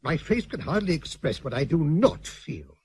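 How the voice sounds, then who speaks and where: An actor in a radio drama playing a stiff, prosaic senior naval lord, speaking formally with dry disapproval. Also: male voice, a little high, big pitch swings, darkish tone, breathy edge, full character voice. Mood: restrained disapproval. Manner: formal, measured, dryly disapproving.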